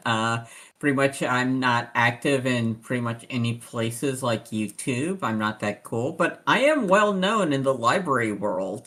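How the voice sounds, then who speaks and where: A woman's voice: a bass voice, a bit bright. A woman chatting casually and self-deprecatingly as she introduces herself as a tabletop game player.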